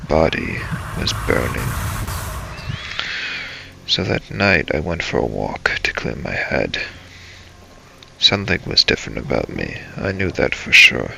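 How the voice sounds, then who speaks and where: A man hosting a gaming series, narrating a scripted first-person story in a brooding, halting, dramatic way. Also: a bass voice, gravelly, pushed and bright, a little hiss or hum, rough recording. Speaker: host of a role-play gaming series.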